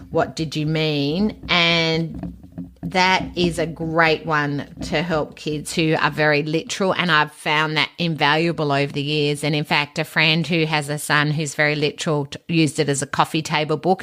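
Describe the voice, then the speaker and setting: A woman's voice: deep voice, fairly bright tone. A woman hosting an education podcast, talking informally and emphatically in a teaching monologue with rhetorical questions. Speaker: podcast host and education presenter.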